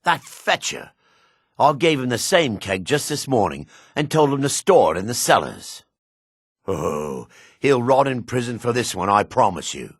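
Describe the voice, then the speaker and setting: A man voicing a gruff, wary male dark elf townsman in a fantasy video game, with a low, husky voice. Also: very animated, measured pace, no room sound, studio quality, exaggerated voice. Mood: angry.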